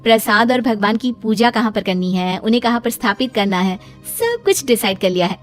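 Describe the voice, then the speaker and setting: A woman acts as a young cartoon daughter-in-law, earnest and chatty. Her voice is high, with music playing faintly behind it. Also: lively intonation, brisk, natural voice. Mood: eager. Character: young devout daughter-in-law, dutiful but reluctant worker.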